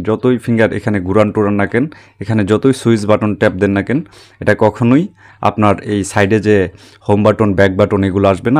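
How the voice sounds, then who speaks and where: A man with a fairly low voice walks through a phone tutorial step by step. He explains each setting as he goes and often pauses to check that the listener is following along. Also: a little mellow. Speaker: tech tutorial host. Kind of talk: spoken screen-recording tutorial, step by step.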